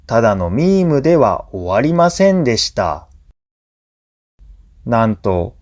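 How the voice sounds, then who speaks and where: Slow-paced, expressive male story narrator. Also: mid-range voice. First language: Japanese. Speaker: language teacher narrator. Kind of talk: scripted story narration for language learners.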